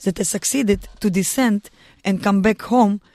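A woman from Russia with a Russian accent, speaking earnest, halting English as a guest in a radio studio interview. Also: mid-range voice, a little mellow, dry recording. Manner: earnest, halting non-native English with restarts. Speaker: guest, mountaineer and lawyer.

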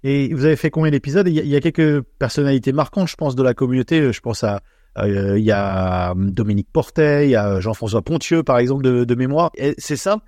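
A man hosting an informal radio interview, forming his questions in a halting way with repeated words. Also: medium pitch, darkish tone, no room sound, studio quality. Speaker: radio host interviewing a guest.

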